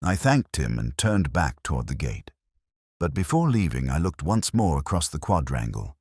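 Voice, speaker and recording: A man reading a scripted first-person mystery story in a measured, solemn, literary manner. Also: very deep voice, very animated, darkish tone, slightly rough, no room sound, studio quality. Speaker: narrator.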